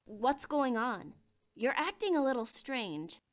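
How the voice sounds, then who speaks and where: A woman's voice: sits high, sing-song, slow, heavily acted. A woman playing a reserved, rational young female student in a visual novel, earnest and a little hesitant, awkwardly caring. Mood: guarded, questioning.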